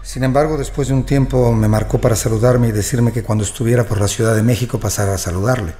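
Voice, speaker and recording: A man's voice: medium pitch, steady tone, fast, mellow, close to an everyday voice. An actor in an audio drama playing a wronged male prisoner who recounts his ordeal as sober testimony. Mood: recollecting. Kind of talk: first-person audio drama narration. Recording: music bed behind the voice.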